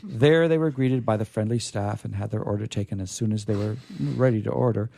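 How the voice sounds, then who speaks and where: A man hosting a radio show, reading stories aloud in a theatrical, deliberate, emphatic way with casual asides. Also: on the low side, gravelly, dark tone.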